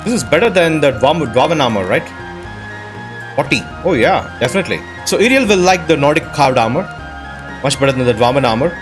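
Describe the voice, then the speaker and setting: A man's voice: on the high side, animated, a little fast. A man giving casual let's-play commentary as a gaming host, thinking aloud in short questions. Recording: music behind the voice. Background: game music.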